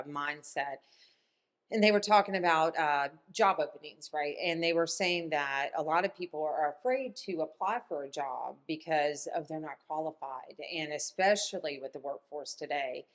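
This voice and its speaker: A woman hosting a community podcast, upbeat and quick to praise her guest. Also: low-pitched, fairly bright tone. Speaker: podcast host. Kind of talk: casual interview chat. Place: studio or sit-down room.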